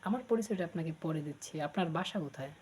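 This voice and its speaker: A Bangladeshi man chats flirtatiously on a casual phone call as a prankster. His tone is dark and relaxed, and he teases and coaxes as he goes. Some noise sits behind his voice. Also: fairly high pitch, fast talker. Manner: teasing, coaxing. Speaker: prank caller.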